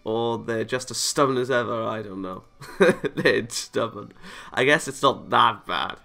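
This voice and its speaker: Bright-voiced man reading a visual novel, creaky. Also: mid-range voice, unhurried. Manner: chuckles and comments between read lines. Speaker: video game let's player. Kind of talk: reading visual novel narration aloud with casual reactions.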